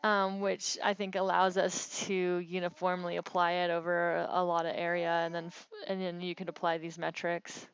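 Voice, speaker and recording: Even-toned woman on a dull call recording. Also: fairly low pitch, normal speed, hardly any pauses, clean tone. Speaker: researcher explaining mapping metrics. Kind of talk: casual technical explanation in a work call. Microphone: phone call.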